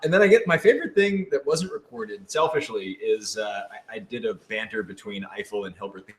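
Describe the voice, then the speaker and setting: A man's voice: on the low side, lively intonation, a little fast. A man chatting casually as part of a live-stream panel. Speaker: panel member answering fan questions. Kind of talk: casual chat. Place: indoor room, live stream. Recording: echoey room.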